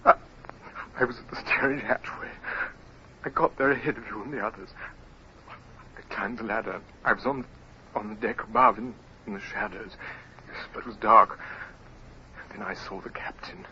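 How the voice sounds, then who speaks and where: An actor playing an exhausted, guilt-ridden young sailor in a 1950s radio drama, halting and stammering, with a breathy, breaking voice. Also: male voice, lowish voice, sharp tone. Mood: anguished confession. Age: young adult. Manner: halting, stammering, repeating words. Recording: muffled, noisy vintage radio recording.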